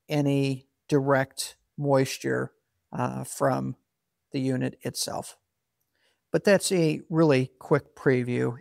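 A man in his forties with a gravelly, mid-pitched voice walks through his equipment in a casual, practical way, with a few fillers and restarts. He is recorded close and dry in a garage workshop. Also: no echo. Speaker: solar equipment channel host. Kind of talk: casual explainer, talking to camera.

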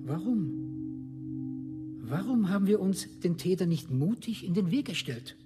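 An actor playing a solemn, indignant orator who addresses his community in measured, rhetorical reproach in a documentary radio play. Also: high voice, lively intonation, loud music behind the voice. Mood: grave, reproachful.

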